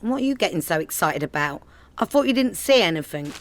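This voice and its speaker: An actress playing a sarcastic, nagging wife with a London accent in a comedy radio play. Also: female voice, lowish voice, sing-song, fast talker. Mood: pointed.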